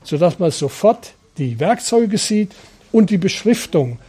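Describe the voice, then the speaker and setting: A man's voice: high-pitched, sing-song, measured pace, warm tone, breathy edge. A man who runs a training workshop, explaining in an interview, orderly and matter-of-fact. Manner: explanatory, listing things in an orderly way. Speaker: head of the refugee workshop.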